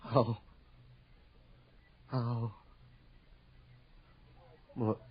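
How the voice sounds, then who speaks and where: A man playing an anxious, grief-stricken middle-aged husband in an old-time radio horror drama, halting and emotional. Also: mid-range voice, level tone. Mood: stunned grief. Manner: halting, emotional, melodramatic delivery. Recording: dull lo-fi radio drama recording.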